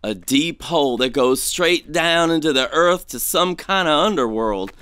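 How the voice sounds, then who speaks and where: Man acting higher-voiced, uneasy gold prospector. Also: unhurried, smooth, dry recording. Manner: folksy, hesitant, excitable. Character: uneasy, superstitious male gold prospector, folksy sidekick. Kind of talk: audio drama acting.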